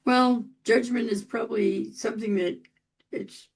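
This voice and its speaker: A woman's voice: a little high, fairly even intonation, measured pace, gravelly, husky. A woman in her eighties hosting an interview, chatty and friendly, laughing often. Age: 80s. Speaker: podcast host. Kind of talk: casual interview talk.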